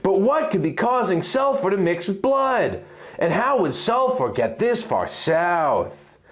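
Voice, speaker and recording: A man's voice: sits high, sing-song, unhurried, pitched above the performer's own voice. A man voicing an earnest, alert half-elf husband, emphatic and concerned, in a fantasy story reading. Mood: urgent, concerned.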